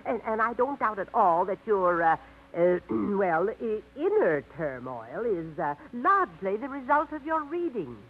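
A woman's voice: mid-range voice, very animated, a little slow, husky. An actress playing a prim, fussy middle-aged woman in a 1950s radio drama. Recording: tinny old radio broadcast.

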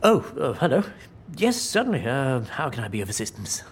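An actor playing an eager, smitten public servant, polite and flustered, in an audio drama. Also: male voice, mid-range voice, very animated, a little fast, breathy edge. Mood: flustered, obliging. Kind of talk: naturalistic audio drama acting.

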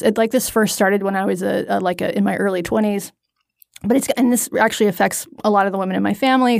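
A woman's voice: medium pitch, creaky, darkish tone, dry recording, clean studio sound. A woman in her thirties co-hosting a podcast in casual chat, candid and self-deprecating, rambling through asides. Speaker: podcast co-host.

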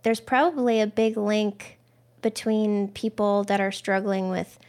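A woman's voice: mid-range voice, fairly even intonation, a little slow, darkish tone. A woman hosting an interview, chatting openly and conversationally and sharing her own experience. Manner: open, conversational, shares her own recovery story. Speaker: interview host. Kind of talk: casual interview chat.

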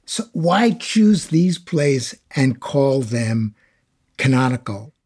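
A man's voice: mid-range voice, big pitch swings, slow, darkish tone, husky. A man with an American accent talking reflectively as a scholar being interviewed. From United States. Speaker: scholar guest.

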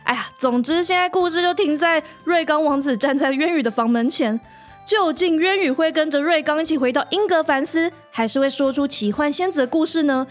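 A woman's voice: high-pitched, fairly quick, soft background music, lightly acted. A woman playing a short, chubby, wingless elf prince who chats playfully and self-deprecatingly in a fairy-tale interview. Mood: bashful, playful. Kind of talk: in-character interview, fairy-tale audio drama.

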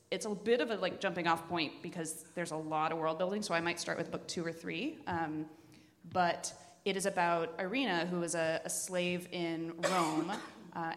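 A woman podcast host giving casual, enthusiastic book recommendations to a group, in a blunt and informal way. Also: fairly low pitch. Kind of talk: casual chat before a live group. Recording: echoey hall with long reverb.

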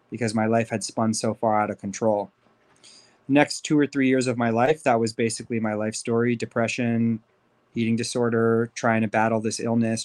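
American man, thirty, candid podcast guest. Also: medium pitch. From Los Angeles, United States. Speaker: podcast guest, life coach. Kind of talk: conversational personal life story.

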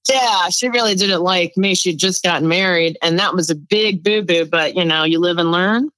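Chatty middle-aged woman, lower voice. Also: moderate pace, no echo, poor audio. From New York City. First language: Spanish. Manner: chatty storyteller, lively laughs. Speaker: guest psychic. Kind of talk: casual interview chat.

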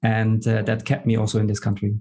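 A middle-aged man from Germany, speaking as a guest in a casual podcast interview, pausing often with fillers and restarts. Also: on the low side, completely flat delivery, vocal fry, warm tone. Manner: hesitant, many fillers and restarts. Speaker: guest, tech executive.

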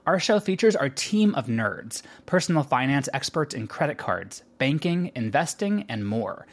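Mid-pitched man reading a podcast promo. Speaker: podcast host promoting own show.

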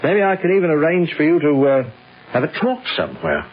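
A chummy wary working bloke, slangy, up and down voice, muffled old radio drama. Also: male voice, a little high, wildly swooping pitch, brisk, breathy edge, full character voice. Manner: hesitant, slangy, old-chum talk. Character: cautious, chummy working-man buddy, young adult male.

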